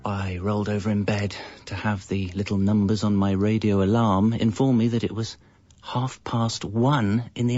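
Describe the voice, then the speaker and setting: A man's voice: lowish voice, darkish tone, no room sound, lightly acted. An actor playing a lazy, evasive composer, narrating his own life in a dry, self-justifying way for a radio comedy. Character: lazy, evasive slacker jingle composer telling his story. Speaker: narrator and lead character. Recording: slightly muffled radio recording.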